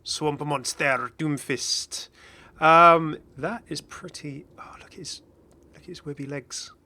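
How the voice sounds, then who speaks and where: A man's voice: a little high, fairly even intonation, slow pace, pushed and bright. A man giving casual, off-the-cuff game commentary as a video host, chatty and rambling with enthusiastic asides.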